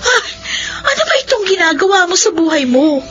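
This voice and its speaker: An actress playing a blunt, protective, exasperated young friend in a radio drama, questioning and scolding. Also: female voice, very high voice, big pitch swings, moderate pace. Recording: dull sound, faint music.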